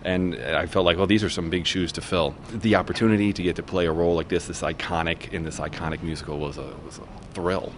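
A man's voice: sits low, steady tone, fast talker, a little hiss or hum. A middle-aged man, a stage actor, speaking earnestly and reflectively in an interview. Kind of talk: casual interview.

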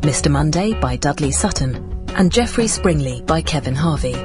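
A woman's voice: low-pitched, expressive, normal speed. A woman announcer reading scripted closing credits for a drama. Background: guitar music.

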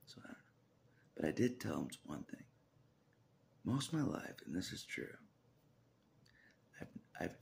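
Very deep gravelly man, half-whispering. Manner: repeats phrases, hesitant restarts, half-whispered asides. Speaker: religious vlogger. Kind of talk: rambling personal vlog. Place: small indoor room. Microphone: phone at arm's length.

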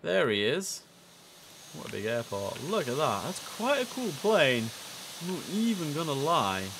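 A young man with a headset, streaming an air traffic control game, chatting casually and reading out radio calls in a relaxed way that repeats words for emphasis. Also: a little high, unhurried.

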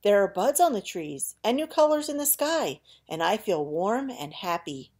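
A woman's voice: medium pitch, sing-song, normal speed, a little mellow. A woman voicing a cheerful, chirpy little bird in a storybook read-aloud.

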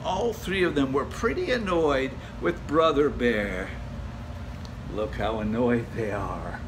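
An older man reads a children's storybook aloud at home, gentle and expressive, sinking to a hush for the spooky passages. His voice sits at an ordinary middle pitch, picked up by a camera at desk distance with a steady hiss underneath. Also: takes it slowly. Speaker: storybook reader. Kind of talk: children's picture book read-aloud. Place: home room, indoors.

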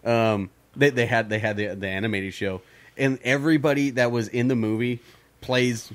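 A man's voice: mid-range voice, steady tone, normal speed. A man co-hosting a podcast in casual chat, rambling and swearing, often laughing. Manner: profane, rambling, laughs a lot. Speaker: podcast co-host.

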